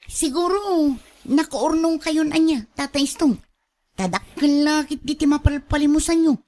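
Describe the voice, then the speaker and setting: A woman's voice: high voice, a little slow, a little mellow. A woman playing a sly, coaxing gambling crony in a radio drama, persuasive and confident. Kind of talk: Ilocano radio drama acting.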